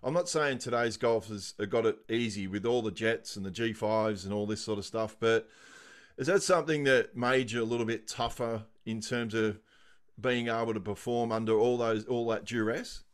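A man's voice: on the low side, moderate pace. A middle-aged man asking rambling, informal questions as an interviewer. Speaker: interviewer, host. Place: indoor home room with shelves, on a video call. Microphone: video call microphone.

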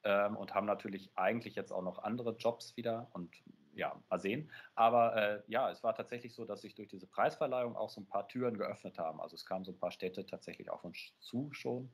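Low, slightly husky man chatting. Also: a little fast. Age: 30s. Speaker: interview guest, audio game creator. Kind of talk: casual interview conversation. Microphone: earphone headset on a video call.

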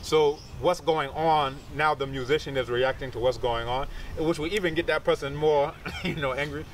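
A man's voice: on the high side, normal speed, far from the mic. A man in his thirties talking informally as a teacher in an interview, explaining things with asides. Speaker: researcher and martial arts teacher. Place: outdoors among trees and bushes. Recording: outdoor recording with insects and traffic noise.

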